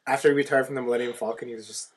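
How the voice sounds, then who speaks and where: A man of average pitch co-hosts a casual podcast. He talks in slang and keeps asking questions, bantering easily with the others. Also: fairly even intonation, racing, breathy edge. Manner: slangy, inquisitive. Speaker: podcast co-host.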